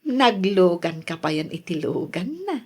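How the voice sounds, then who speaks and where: A woman's voice: lowish voice, big pitch swings, measured pace, husky, exaggerated voice. A woman playing a nosy, teasing village confidante with an Ilocano accent in a radio drama. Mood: teasing, curious.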